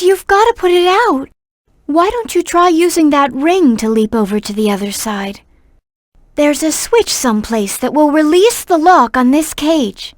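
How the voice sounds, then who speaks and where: Very high-pitched gentle young girl. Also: dry recording, clean studio sound. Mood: alarmed, pleading. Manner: earnest, polite, caring. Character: gentle, earnest, worried young girl, a kind helper. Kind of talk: video game voice acting.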